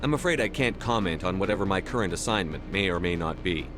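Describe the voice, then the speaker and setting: An actor playing a composed, guarded human military commander in a video game, with a measured, curt manner. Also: male voice, deep voice, expressive, music in the background. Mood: firm, irritated. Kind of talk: video game dialogue acting.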